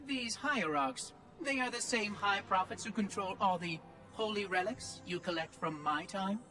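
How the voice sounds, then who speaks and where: A bright-voiced, prim robot oracle. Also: male voice, high-pitched, moderate pace. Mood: curious, probing. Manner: precise, articulate, probing, fussy. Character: prim, inquisitive ancient robot oracle, male, coolly pedantic. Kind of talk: video game cutscene dialogue. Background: music.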